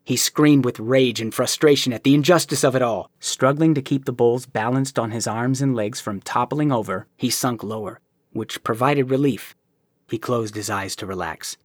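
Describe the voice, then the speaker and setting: A man reading a novel aloud in an even storytelling manner, his voice husky and breathy. The sound is close and dry, with no room around it. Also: mid-range voice, moderate pace, dry recording. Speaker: narrator. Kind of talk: audiobook narration.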